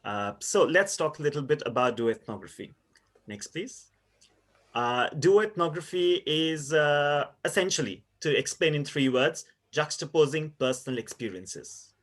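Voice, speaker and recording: A man in his thirties from India, giving a conference presentation in a measured, explanatory way with frequent pauses and fillers. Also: fairly high pitch, expressive, fairly bright tone. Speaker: university lecturer presenting research.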